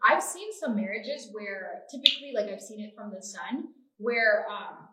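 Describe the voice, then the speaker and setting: A young woman whose first language is Dutch, chatting casually and reflectively, with pauses and fillers. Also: medium pitch, expressive, pushed and bright. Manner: reflective, hesitant, many "um" and "you know". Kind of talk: casual chat. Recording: echoey room.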